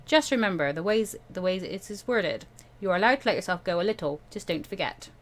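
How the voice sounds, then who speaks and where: A woman voicing a calm, stern older male mentor in a visual novel, measured and gently admonishing. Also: medium pitch, lightly acted. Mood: stern, persuasive.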